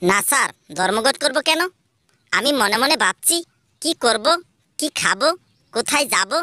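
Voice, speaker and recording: A greedy cartoon schoolboy rattles off an endless list of treats in a steady stream, as a woman voices him. The voice is high and bright, and he barely pauses between items. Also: no room sound, clean studio sound. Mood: eager. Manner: rattling off long lists.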